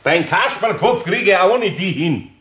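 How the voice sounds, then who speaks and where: An actor playing a good-natured older master carpenter with a Bavarian accent, fumbling for words and chuckling. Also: male voice, sits high, lively intonation, moderate pace, a bit bright. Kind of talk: 1960s German radio play acting.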